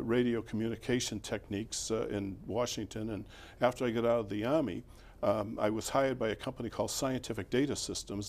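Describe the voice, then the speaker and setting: An older man with a Boston accent, a former mayor recalling his life in a relaxed, rambling interview with fillers and chuckles. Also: lowish voice.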